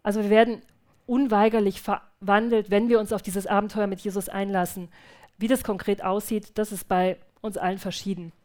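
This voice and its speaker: A woman back from mission work who speaks earnestly and reflectively at a church service, shares a testimony with hesitant restarts, then prays quietly. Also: medium pitch, flat delivery, a little fast. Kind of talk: church testimony followed by spoken prayer.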